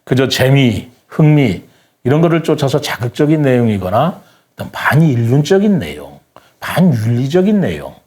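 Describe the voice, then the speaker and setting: An older man speaking as an interview guest, giving his opinions earnestly in a deliberate, formal and polite way. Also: medium pitch, sing-song, darkish tone.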